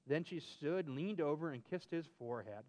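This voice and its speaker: A man's voice: fairly high pitch, lively intonation, moderate pace, darkish tone, poor audio. A man hosting a children's storybook reading, acting out the dialogue and bantering casually as he goes. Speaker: host and storybook reader for children.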